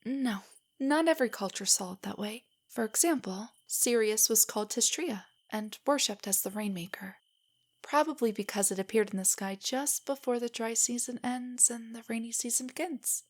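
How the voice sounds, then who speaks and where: Breathy, higher-voiced nerdy girlfriend played by a woman. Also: moderate pace, close to an everyday voice. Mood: soft, earnest. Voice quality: breathy, often near a whisper. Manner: rambling, giggly, hesitant, explaining trivia. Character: nervous, nerdy young girlfriend, affectionate and eager stargazer. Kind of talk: roleplay audio, intimate soft monologue to a listener.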